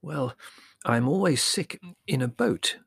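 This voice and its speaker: A man voicing a mild, judicious scholarly gentleman in a storybook, hesitant, halting and hemming as he talks. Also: mid-range voice, big pitch swings, gravelly, husky, no room sound, clean studio sound, pitched above the performer's own voice, full character voice. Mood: cautious. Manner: slow, halting, hemming and stammering.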